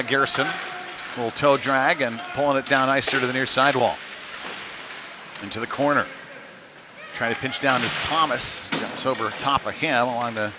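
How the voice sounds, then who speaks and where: A man giving live play-by-play of a youth ice hockey game in short, clipped phrases that trail into asides. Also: medium pitch. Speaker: play-by-play commentator. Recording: noisy rink with ambient clatter behind. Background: rink game noise.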